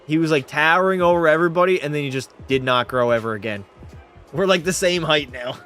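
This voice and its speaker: A man's voice: fairly high pitch, fast talker, fairly bright tone, faint music behind. A young man from Canada, casually chatting and swearing while live-streaming a hockey game and answering chat. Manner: loose, profane banter, reading chat aloud. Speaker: gaming streamer.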